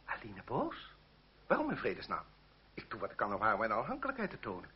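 Impulsive, very husky aristocrat. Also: male voice, fairly low pitch, huge pitch swings, fairly quick, heavily acted. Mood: bewildered. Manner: fervent, theatrical. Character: impulsive, lovestruck aristocrat, arrogant towards his mistress. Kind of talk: Dutch radio play acting. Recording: muffled old radio recording.

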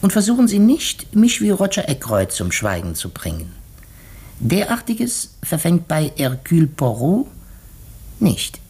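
A shrewd detective in a 1950s radio play. The voice is husky and highly animated in pitch. He builds his accusations courteously and deliberately, with theatrical pauses. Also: exaggerated voice. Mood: stern, composed. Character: shrewd, courteous male detective unmasking a murderer. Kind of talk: 1950s German radio drama acting.

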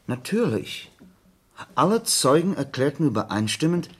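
An actor playing a detective's attentive, puzzled friend in a German radio drama, speaking in an earnest, questioning way. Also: male voice, fairly high pitch, sing-song, moderate pace, a bit bright, slightly rough, exaggerated voice.

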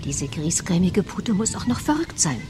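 An actress playing a nosy, spiteful village gossip in a radio drama, with an insinuating, mocking manner. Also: female voice, lowish voice, big pitch swings, normal speed, over music. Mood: scornful.

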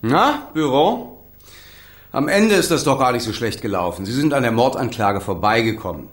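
A man's voice: mid-range voice, sing-song, moderate pace, roomy sound, a little hiss or hum, natural voice. A man acting an embittered, combative young defence lawyer in a radio crime drama, indignant and emphatic. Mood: brisk, coaxing.

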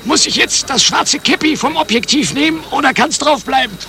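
Very high-pitched dim henchman. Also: lively intonation, moderate pace, gravelly, heavily acted. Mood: dutiful, earnest. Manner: dutifully parroting. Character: squeaky, slow-witted, obedient henchman reporting to his boss. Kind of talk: satirical radio comedy acting.

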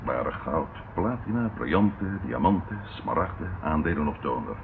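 Very deep, gravelly police colleague. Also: male voice, lively intonation, normal speed. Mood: animated, incredulous. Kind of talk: Dutch radio play acting. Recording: muffled noisy old radio recording.

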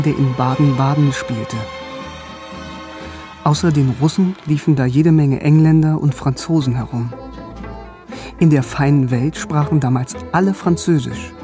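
A man playing a wry, bookish young man who narrates his youth in a radio drama, articulate and self-ironic. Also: fairly high pitch. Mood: informative. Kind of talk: scripted first-person radio drama narration. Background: music.

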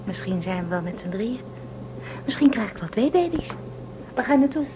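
A woman's voice: on the high side, lively intonation, fairly quick, close to an everyday voice. A woman playing an anxious, pleading pregnant young space traveler in a radio drama. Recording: dull lo-fi tape with noise.

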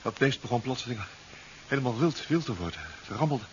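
An actor playing a dazed, flustered scientist in a 1970s science fiction radio drama, halting and repeating his words meekly. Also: medium pitch, lightly acted. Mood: shaken. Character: dazed, flustered male scientist, stammering and meek. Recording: dull 1970s radio recording with hiss.

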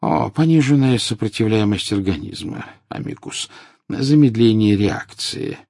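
A man's voice: on the low side, animated, measured pace, vocal fry, no echo, clean studio sound, voice pushed up, exaggerated voice. Frail old wizard, a man voicing him. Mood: wry. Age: older. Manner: weak, quiet, pleading. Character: frail old male wizard, calm and wry.

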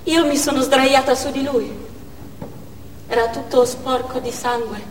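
An actress playing a grieving young Chechen war widow in a stage monologue, speaking in stark, halting short phrases. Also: female voice, sits high, steady tone, far from the mic. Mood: numb grief. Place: theatre stage, distant microphone. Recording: echoey theatre hall from afar, noisy.

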